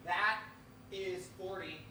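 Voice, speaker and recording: A man chatting casually and haltingly off the cuff on stage, away from the microphone. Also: high-pitched, fairly even intonation, a crowd in the background. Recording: distant, echoey, very noisy stage pickup.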